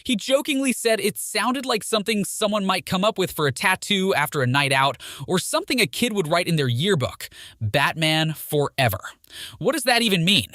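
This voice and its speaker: A man's voice: sits high, lively intonation, moderate pace, pushed and bright, no echo, clean studio sound. A man reading a scripted film trivia narration in a presenter's manner, posing questions to the listener. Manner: presenter-like, poses rhetorical questions. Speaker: video narrator.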